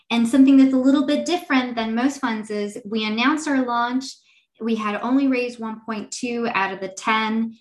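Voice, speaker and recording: A woman in her thirties, a venture fund partner, answering interview questions in a talkative, animated way. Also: medium pitch.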